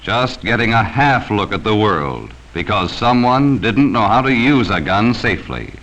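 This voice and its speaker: A man's voice: fairly low pitch, lively intonation, unhurried, fairly bright tone, muffled recording. An American man narrating a 1940s educational safety film from a script, speaking sternly and cautioning children directly, on a vintage film soundtrack.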